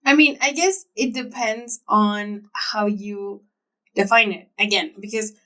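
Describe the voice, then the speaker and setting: A woman's voice: medium pitch, unhurried, pushed and bright. A woman co-hosting a podcast, chatting casually and agreeably, often backing her partner up with "yeah". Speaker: podcast co-host. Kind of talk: casual chat. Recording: voice a bit off-mic.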